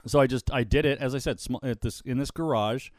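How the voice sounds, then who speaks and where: Loud-voiced guy who does ring announcing, chatting on a podcast, dry close sound, gets animated and trips over his words. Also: medium pitch, a little fast, dry recording. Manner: animated storytelling, repeats words when excited. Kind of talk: casual podcast chat.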